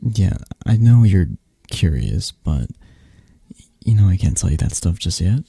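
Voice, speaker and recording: Slow, husky, mellow man acting a tender mafia boss. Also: sits low, very animated, no echo, studio quality, full character voice. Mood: fond, guarded. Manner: hesitant, murmuring, coaxing, with soft chuckles. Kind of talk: ASMR romantic roleplay, intimate and soft-spoken.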